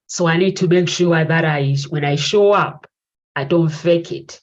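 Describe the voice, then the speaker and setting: A woman's voice: low voice, a little slow. A woman in her forties hosting a talk show, giving an earnest, reflective motivational monologue that circles back to repeat her key phrases. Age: 40s. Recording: dull lo-fi recording.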